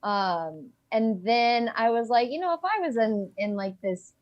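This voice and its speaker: A woman's voice: a little high, lively intonation, a little slow, highs cut off. A woman talking informally as a guest in a casual chat, peppering her sentences with "like". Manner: informal, frequent use of "like". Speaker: discussion guest.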